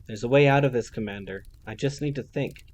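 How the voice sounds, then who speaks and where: A man's voice: mid-range voice, brisk, darkish tone, muffled recording, a little hiss or hum, lightly acted. Man playing a defiant young elf officer woman. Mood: urgent. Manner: urgent, defiant.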